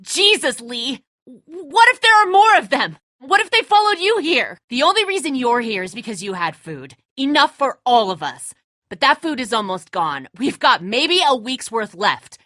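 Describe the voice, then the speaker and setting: A woman's voice: high voice, sing-song, moderate pace, sharp tone, no echo, studio quality, exaggerated voice. An actress playing a tense, defensive woman who leads a group of survivors in a dramatic video game, blunt, confrontational and exasperated. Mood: angry, exasperated.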